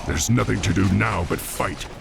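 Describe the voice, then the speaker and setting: An actor playing a stern, burdened young orc war chief in a video game, speaking with gravelly authority. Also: male voice, lowish voice. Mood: firm command. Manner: authoritative, deliberate. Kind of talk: video game cinematic acting. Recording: game music behind the voice.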